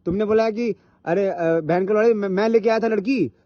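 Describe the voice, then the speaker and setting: A man's voice: a little fast, no room sound. A man in a heated argument on a recorded phone call, confrontational and repetitive, often cutting in and swearing. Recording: muffled, low-fidelity phone call recording.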